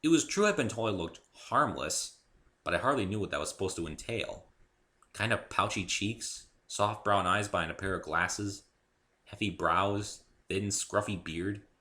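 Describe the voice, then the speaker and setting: A man's voice: on the low side, expressive, normal speed, bright, forceful tone. A man reading his own story aloud as a dramatic first-person narration.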